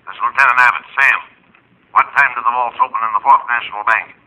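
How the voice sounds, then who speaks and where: A man's voice: mid-range voice, steady tone, quick pace, pushed and bright. A male actor playing an anxious, hapless police lieutenant sidekick in an old-time radio comedy. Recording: very noisy vintage radio phone call.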